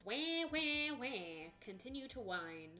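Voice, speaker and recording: A woman's voice: medium pitch, animated, slow, pushed and bright, faint music behind. A tired woman streamer chatting casually with viewers, joking bluntly and dryly.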